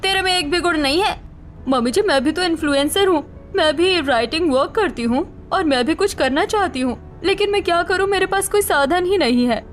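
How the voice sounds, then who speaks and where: A woman acting a harsh mother-in-law and her pleading daughter-in-law in an animated family drama, shrill and emphatic. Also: a little fast, soft background music. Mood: scolding, pleading.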